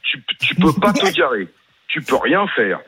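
Husky older Parisian man on a phone line. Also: a little high, big pitch swings, unhurried, bright, forceful tone. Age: 60s. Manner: emphatic, complaining, talks over the host. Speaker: caller. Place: home near Paris.

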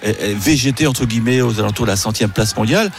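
A man speaking as a tennis specialist in an animated radio sports betting chat. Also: lowish voice, very fast, a little hiss or hum.

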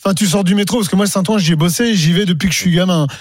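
A man with a fairly high voice rattles off jokes at a very fast pace. The studio sound is dry and close. He speaks as a regular panelist on a radio debate show. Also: dry recording. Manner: joking, rapid-fire. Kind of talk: lively talk radio debate.

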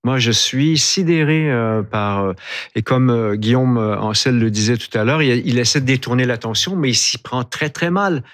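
A man's voice: mid-range voice, normal speed, fairly bright tone. Outspoken man with a Canadian French accent. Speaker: panel guest. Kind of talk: TV panel debate.

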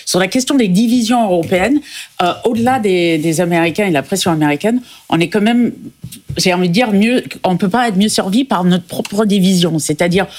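A woman from the United States speaking as a debate panelist, pausing often and restarting her sentences. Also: mid-range voice, darkish tone. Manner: hesitant, many fillers and restarts, thoughtful. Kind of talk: televised debate.

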